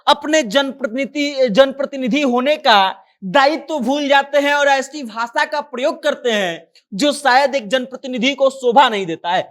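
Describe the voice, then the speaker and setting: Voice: high voice, a bit bright. A news presenter giving informal commentary, retelling a story conversationally and voicing quoted dialogue.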